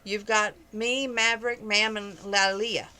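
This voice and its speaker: A woman's voice: mid-range voice, steady tone, a little slow, sharp tone. A woman reading aloud, voicing a blunt, skeptical young cowboy in a storybook. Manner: blunt, disbelieving.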